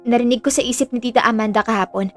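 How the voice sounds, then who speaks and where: A woman's voice: a little high, fairly even intonation, fast, lightly acted. A woman playing an earnest, burdened young woman in an audio drama, confiding in a subdued way with a Filipino accent. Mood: grave, resolved. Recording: music under the voice.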